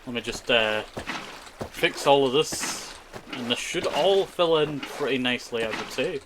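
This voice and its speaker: A male game streamer from Scotland, a native Scottish Gaelic speaker, talks in a medium-pitched voice. He rambles casually through his commentary, echoing his own short phrases as he chats to viewers. Clear noise sits behind the voice. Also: expressive, measured pace. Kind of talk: casual live gaming commentary with chat.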